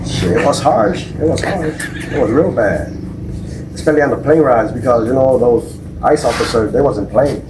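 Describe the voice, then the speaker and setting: A man's voice: mid-range voice, animated, moderate pace, noisy background. A man in his forties from Minnesota answering casually as an interview guest, slangy and repeating his phrases. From Minnesota, United States. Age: 40s. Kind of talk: casual chat. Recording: distant mic, loud vehicle ambience.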